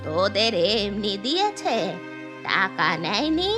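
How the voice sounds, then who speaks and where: A woman's voice: mid-range voice, sing-song, slow pace. A woman voicing a stingy, nosy village grandmother in a moral-story cartoon, with a questioning, grudging manner. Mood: resentful. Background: background music.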